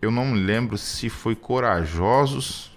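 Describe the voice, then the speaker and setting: A man's voice: medium pitch, unhurried, faint music behind. Earnest hesitant man in his thirties. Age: 30s. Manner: hesitant, many restarts and fillers, earnest. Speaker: radio program co-host.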